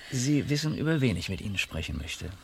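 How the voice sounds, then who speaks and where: An actor playing a courteous, probing police inspector in a radio crime drama, measured, discreet and quietly insistent. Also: male voice, mid-range voice, very animated, gravelly, a little hiss or hum. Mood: confidential.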